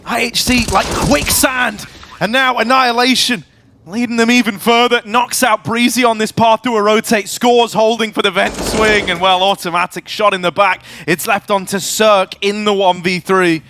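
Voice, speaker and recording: A man commentating a live esports match with excitable, emphatic play-by-play and analysis. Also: high-pitched, normal speed, noisy background.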